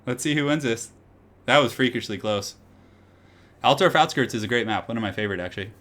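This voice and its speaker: A man casting a strategy game tournament live and chatting with viewers, his slightly raspy voice getting hoarse, with excitable loud outbursts. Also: medium pitch, fairly quick. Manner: excitable, breaks into loud exclamations.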